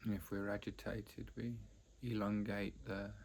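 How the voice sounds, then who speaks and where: A man teaching a guided meditation, speaking haltingly in short phrases with long pauses between them. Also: lowish voice, little pitch movement, gravelly, darkish tone. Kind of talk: guided meditation, unscripted explanation.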